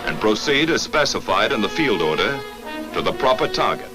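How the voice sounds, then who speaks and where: A man's voice: on the low side, big pitch swings, moderate pace, over loud music. An American man reading scripted 1940s wartime documentary narration in a clipped, declarative newsreel manner, on a vintage film soundtrack.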